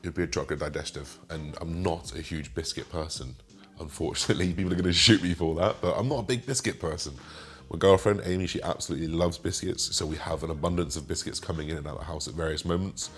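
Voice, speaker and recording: A man in his twenties with a slightly raspy voice, chatting casually as he answers viewer questions and rambles with fillers. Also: deep voice, steady tone, fast, a bit bright. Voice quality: raspy today. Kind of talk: casual Q and A monologue.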